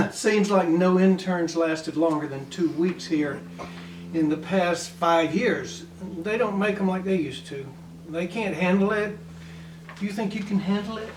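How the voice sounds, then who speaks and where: Man playing a brash, high-pitched sleazy older henchman. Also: measured pace, background noise. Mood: challenging. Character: sleazy, irritable 58-year-old male henchman PR man. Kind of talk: script table read, comedy. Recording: echoey room, distant mic.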